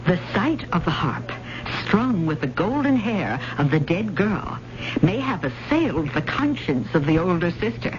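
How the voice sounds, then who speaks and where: An earnest careful student, lowish voice, muffled noisy old radio drama. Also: female voice, very animated, unhurried. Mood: probing, deliberate. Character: earnest, hesitant, probing female adult student of old ballads. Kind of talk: 1970s radio drama acting.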